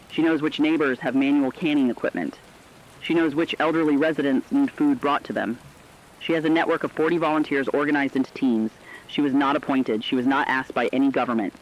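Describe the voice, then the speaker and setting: Solemn male narrator, dull radio sound. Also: medium pitch. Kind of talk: scripted human-interest radio storytelling.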